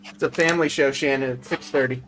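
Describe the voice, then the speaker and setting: A man's voice: mid-range voice, steady tone, fast, background noise. A middle-aged man hosting a hobby livestream, chatting casually and teasing as he works at his bench. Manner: teasing, joking. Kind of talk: casual chat while building model kits. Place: at a hobby workbench on a group video call.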